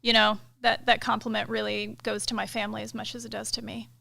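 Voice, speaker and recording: A middle-aged woman, a military pilot, talking through her career as a guest in a casual interview, relaxed and thoughtful, with many fillers. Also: fairly high pitch, quick pace, vocal fry. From United States. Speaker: KC-135 pilot and director of inspections, guest.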